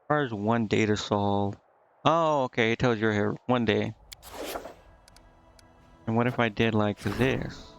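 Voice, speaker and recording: A man streaming a game, casually reading on-screen text aloud and thinking out loud in a halting, puzzled mutter. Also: on the low side, steady tone, gravelly, smooth, highs cut off. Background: game music.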